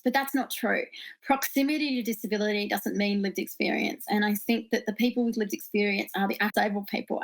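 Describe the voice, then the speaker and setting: A woman's voice: medium pitch, creaky. A woman speaking as a panelist, making her points in a firm, direct way. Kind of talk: panel discussion answers.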